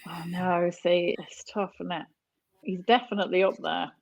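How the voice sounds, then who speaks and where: A woman's voice: fairly low pitch, steady tone, moderate pace, creaky, a little hiss or hum. A woman from North West England chatting casually as a guest on a podcast. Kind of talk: casual interview chat.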